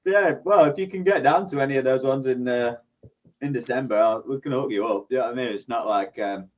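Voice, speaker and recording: A man's voice: mid-range voice, brisk. Laid-back Sheffield man, dull lo-fi sound. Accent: Sheffield English. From Sheffield, England. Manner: laid-back, chatty, laughs often, heavy swearing. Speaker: guest musician. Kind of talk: casual chat.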